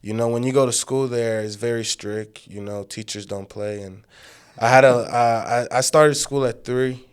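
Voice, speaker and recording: A man's voice: mid-range voice, steady tone, moderate pace, clean tone. A young man in his twenties, a guest, from Uganda, talking easygoing and loose with lots of "you know" fillers. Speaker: guest, amateur fighter. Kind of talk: casual interview chat.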